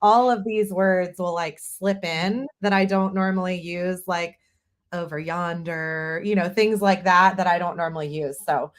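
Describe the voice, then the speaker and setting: A woman from North Carolina with a light Southern accent, presenting a software demo over a video call with a friendly, casual manner. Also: fairly low pitch, steady tone, measured pace. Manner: friendly, uses y'all, frequent fillers like "like" and "um". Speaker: guest presenter.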